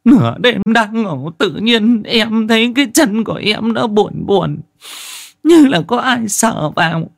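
A terrified young village wife in a spoken ghost story, her voice pushed up shrill and high with a strained, altered tone. She wails and gasps her words in panic, the fear never letting go. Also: expressive, measured pace, vocal fry, no room sound, clean studio sound, exaggerated voice. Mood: frightened telling.

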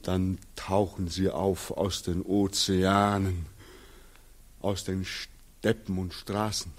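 A man's voice: sits low, level tone, slow. An actor playing a tormented returning soldier in a 1940s radio drama, theatrical and obsessive as he recounts a nightmare. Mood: haunted, brooding. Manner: theatrical, obsessive, swinging from hushed murmurs to frenzied cries. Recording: muffled, husky 1940s radio recording.

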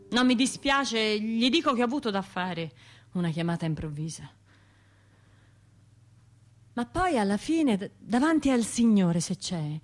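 An actress playing a wounded, bitter bombing survivor in a radio theatre monologue, confiding in colloquial speech. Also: female voice, medium pitch. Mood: weary, defiant. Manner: colloquial, confiding, wry, shifting between narration and imagined voices.

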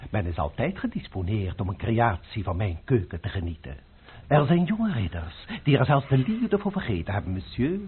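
A man's voice: fairly low pitch, sing-song, normal speed, a little hiss or hum. An actor playing a proud, haughty restaurant owner and chef who insists ceremoniously on serving his dishes. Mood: pompous. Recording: tinny old radio recording.

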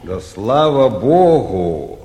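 Blunt, unhurried manservant; slow delivery, theatre comedy, reverberant vintage recording. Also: male voice, fairly low pitch, very animated, dark tone, exaggerated voice. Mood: wary, urging. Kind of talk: theatrical 1940s stage comedy acting. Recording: echoey 1949 theatre radio production.

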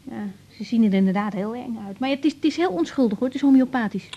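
A young woman doctor in a radio play, with a mellow, slightly husky and fairly high voice. She is blunt and volatile, coarse-mouthed, and stumbles over her words when she opens up. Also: expressive, quick pace, muffled recording. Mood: brisk, explaining. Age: young adult. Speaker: radio drama actress playing a doctor. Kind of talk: naturalistic 1980s Dutch radio drama dialogue.

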